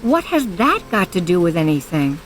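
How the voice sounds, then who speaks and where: A woman's voice: lowish voice, big pitch swings, normal speed, poor audio. An actress playing a guarded, stern woman hiding from her past in an audio drama, speaking tersely and firmly. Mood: wary.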